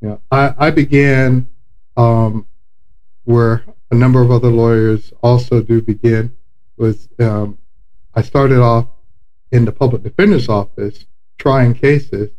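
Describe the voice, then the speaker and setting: A man from South Florida who works as an attorney, speaking in a measured, articulate way on a podcast. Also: medium pitch, steady tone, highs cut off. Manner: measured and articulate, with some fillers. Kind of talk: casual podcast interview.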